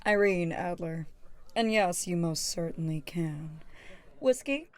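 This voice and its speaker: Woman doing an alluring saloon showgirl, sultry, poised, playful, lowish voice, audio drama. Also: expressive, slow pace. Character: alluring, composed female saloon showgirl in danger.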